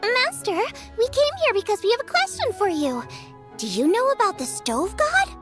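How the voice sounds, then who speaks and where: An eager, friendly teenage apprentice girl in a video game. She sounds chirpy and high, with an animated melody and music playing behind her. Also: normal speed. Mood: respectful, cheerful.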